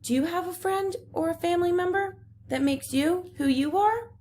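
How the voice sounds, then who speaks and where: A woman's voice: very high voice, normal speed, darkish tone, slight background noise. A woman speaking as a teacher during a picture book read-aloud, putting instructive questions to children.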